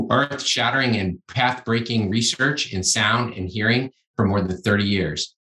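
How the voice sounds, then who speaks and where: A man's voice: lowish voice, bright, forceful tone, reverberant, low-quality recording. A man hosting a podcast interview, chatty and enthusiastic as he introduces his guest. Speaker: podcast host, ear surgeon.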